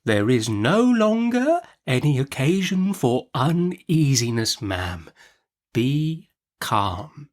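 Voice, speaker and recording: A man voicing a meek, nervous gentleman doctor from a storybook, soft, hesitant and deferential. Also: mid-range voice, very animated, takes it slowly. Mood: meek, soothing.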